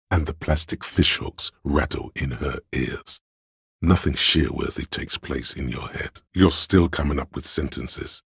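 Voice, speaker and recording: Game narrator, a wry, arty inner voice: extremely low and heavily husky, droll, pompous, measured. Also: male voice, no room sound, clean studio sound, exaggerated voice. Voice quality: very deep, gravelly, husky. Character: male inner voice of conceptual, artistic thought, wry and pretentious.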